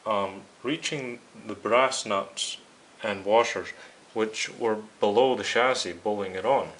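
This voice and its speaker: Male repair-video host, lowish voice, casual step-by-step explainer, faint noise and hiss behind him. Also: slow, a bit bright, rough recording. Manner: explanatory, addressing viewers directly. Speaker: host of a radio restoration series. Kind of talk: casual hands-on repair demonstration.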